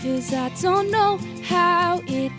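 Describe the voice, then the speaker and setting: A woman singing a pop ballad, holding long drawn-out phrases. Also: extremely high pitch, over music. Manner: sustained, drawn-out sung phrases. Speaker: singer. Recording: loud instrumental backing.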